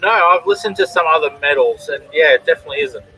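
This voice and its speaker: A man's voice: fairly high pitch, quick pace, sharp tone, faint music behind, poor audio. A man with an Australian accent hosting a drawing stream, chatting casually and reading out viewers' comments. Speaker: comic artist and stream host.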